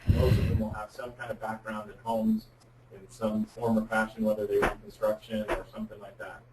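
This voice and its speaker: A man's voice: fairly low pitch, completely flat delivery, fairly quick, a little mellow. A man giving candid, plain-spoken explanations as a guest expert on a web conference call. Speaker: guest speaker, insurance representative. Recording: roomy, distant mic with faint noise.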